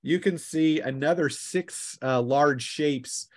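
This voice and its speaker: A man running a tabletop roleplaying session as game master, narrating scenes in a halting, drawn-out way. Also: a little high.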